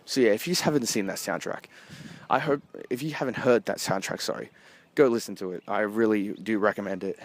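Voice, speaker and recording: Hesitant man reviewing, noisy street behind. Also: mid-range voice. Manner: hesitant, halting, often rephrasing. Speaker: amateur film reviewer. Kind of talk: casual rambling movie review. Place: outdoors, walking along a street. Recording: noisy outdoor street with traffic. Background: street and vehicle noise.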